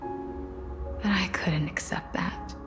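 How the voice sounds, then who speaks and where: A slow, solemn goddess of death. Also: female voice, fairly low pitch, fairly even intonation, whispered, music in the background. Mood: mournful, reflective. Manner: slow, soft, solemn storytelling, often breathy. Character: female demigod of death, wistful, solemn twin sister. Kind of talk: scripted game character voice acting.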